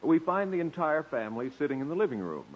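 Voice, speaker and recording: Casting: male old-time radio announcer, theatrical narration, highish lively voice, muffled recording. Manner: theatrical, deliberate emphasis.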